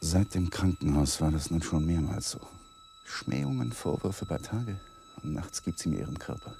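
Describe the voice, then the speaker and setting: An actor playing a weary, unhappily married young lawyer in a radio play, terse and brooding in dialogue and inner monologue. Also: male voice, sits low, wildly swooping pitch, normal speed, dark tone, a little hiss or hum, exaggerated voice. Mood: bitter, brooding. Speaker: actor in the leading role.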